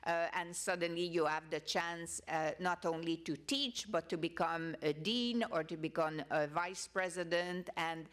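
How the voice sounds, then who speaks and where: A woman's voice: on the low side, fairly even intonation, measured pace, hardly any pauses, a bit bright. A woman panelist giving an unscripted answer in a conversational, explanatory way. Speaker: conference panelist.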